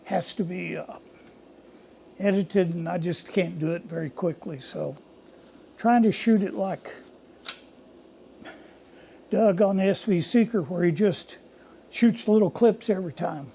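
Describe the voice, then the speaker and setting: Hoarse older male shop host, higher-pitched and gravelly, folksy and rambling. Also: a little slow, long pauses, warm tone, a little hiss or hum. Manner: rambling, folksy and self-deprecating. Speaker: workshop channel host. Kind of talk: casual workshop vlog. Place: home machine shop.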